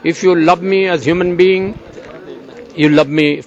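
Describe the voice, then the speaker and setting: Earnest older Bangladeshi journalist, slow. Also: male voice, high-pitched, steady tone, dull audio, some chatter behind. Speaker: agricultural journalist, guest. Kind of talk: interview answers.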